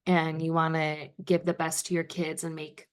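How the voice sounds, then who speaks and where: A woman's voice: sits low, level tone, normal speed, clean tone. A woman hosting a podcast interview, reacting with enthusiasm and asking casual questions. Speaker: podcast host.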